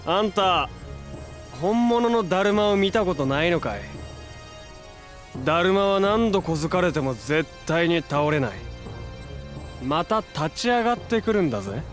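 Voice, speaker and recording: An actor playing a hot-blooded, defiant young hero in an audio drama, brash and emotional with rough, slangy delivery. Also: male voice, sits high, lively intonation, unhurried, long pauses, faint music behind. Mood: cocky, taunting. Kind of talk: Japanese audio drama acting.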